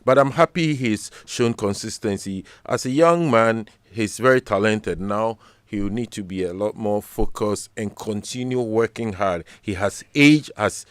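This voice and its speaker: A Ghanaian man with a Ghanaian English accent speaks in a low, smooth and resonant voice that sounds close and dry, like a radio studio. He talks in a measured, earnest way and repeats words as he gathers his thoughts. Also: dry recording. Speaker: sports radio pundit. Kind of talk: studio sports discussion.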